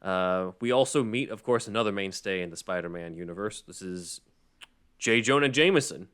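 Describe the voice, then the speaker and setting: A man's voice: deep voice, normal speed, fairly bright tone, clean tone. A man hosting a podcast in a casual, rambling, conversational way. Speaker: podcast host. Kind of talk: casual podcast discussion of a script.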